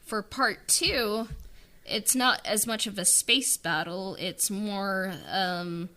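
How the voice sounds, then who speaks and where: A woman's voice: mid-range voice, fairly even intonation, takes it slowly, sharp tone. A woman co-hosting a podcast, chatting casually and hesitantly with many fillers. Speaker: podcast co-host. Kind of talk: casual behind-the-scenes chat.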